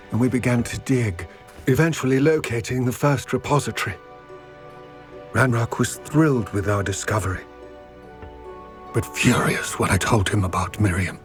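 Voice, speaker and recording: Man playing a solemn, measured goblin. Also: medium pitch, close to an everyday voice. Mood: wistful, grave. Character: earnest, remorseful male goblin, wary of his ruthless kin. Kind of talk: dramatic video game dialogue.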